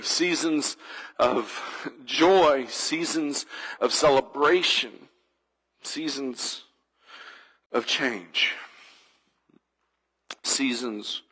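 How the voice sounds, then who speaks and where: A man's voice: mid-range voice, fairly bright tone, slightly rough, rough recording. A man preaching a sermon as a church pastor, earnest and reflective, building through repeated phrases. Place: church sanctuary.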